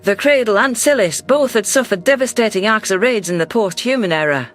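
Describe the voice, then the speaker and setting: Fairly high-voiced male narrator. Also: brisk, soft background music. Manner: even storytelling delivery. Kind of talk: scripted audiobook narration.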